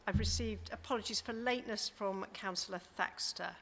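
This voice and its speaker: A woman serving as council chief executive, reading out apologies and notices in a crisp, businesslike manner. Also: medium pitch, brisk, a bit bright. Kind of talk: formal meeting business. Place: large council chamber. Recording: echoing hall with faint background noise.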